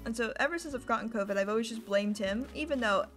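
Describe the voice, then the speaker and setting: A young woman with a rough, hoarse voice chats loosely into a desk mic as she plays, drifting from one thought to the next. She teases herself often and keeps an easygoing, unhurried manner. Music plays clearly beneath her voice. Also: fairly high pitch. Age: young adult. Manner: rambling, self-deprecating, chatty. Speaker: live streamer. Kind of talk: casual gaming stream chat. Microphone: desk microphone. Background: music playing.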